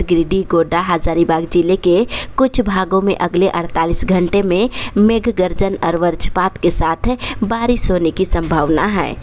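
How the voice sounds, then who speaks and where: A woman's voice: medium pitch, darkish tone. A woman with an Indian accent, presenting a scripted news bulletin as a newsreader.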